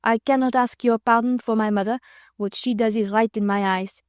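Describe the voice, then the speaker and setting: A woman's voice: on the high side, steady tone, moderate pace, clean tone, dry recording, close to an everyday voice. Proud young French noble, earnest broken English, dry close sound, voiced by a woman.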